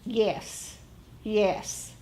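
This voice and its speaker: Slow-paced American woman teaching meditation. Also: mid-range voice, dark tone, slight background noise. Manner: conversational, pausing often between short phrases. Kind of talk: informal dharma talk to a group.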